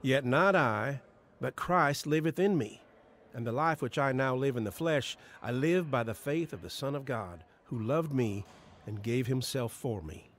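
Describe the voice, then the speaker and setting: An actor in an audio drama playing a steady, devout friend and mentor with a measured, exhorting way of talking. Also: male voice, mid-range voice. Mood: earnest counsel.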